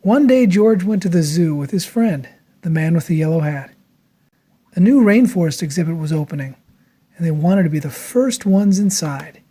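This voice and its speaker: A storyteller reads a picture book aloud for children. The voice sits at a middle pitch, and the delivery is expressive and theatrical, made for storytime. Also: moderate pace. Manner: expressive, acts out character lines.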